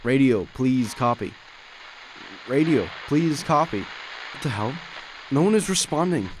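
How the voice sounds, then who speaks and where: A man's voice: medium pitch, lively intonation, unhurried, slight background noise, natural voice, low-quality recording. A man playing a weary, haunted soldier in his forties in an audio drama, grave and terse. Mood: panicked. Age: 40s. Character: weary haunted 40-year-old male ex-soldier in hiding. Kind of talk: audio drama acting and narration.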